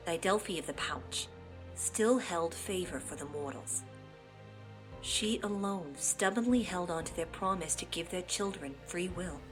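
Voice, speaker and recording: A woman voicing an opossum mother in an animated fandub, telling a story with drama and casual, playful asides, easily flustered. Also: on the low side. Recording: loud music behind the voice.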